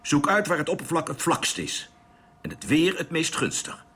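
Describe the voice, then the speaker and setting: An actor playing a terse, decisive spaceship captain in a Dutch radio play. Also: male voice, fairly high pitch, wildly swooping pitch, normal speed, pushed and bright, slightly rough, heavily acted. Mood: brisk, serious. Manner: terse, decisive, giving orders. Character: commanding male captain of a spaceship to Mars. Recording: noisy radio drama recording.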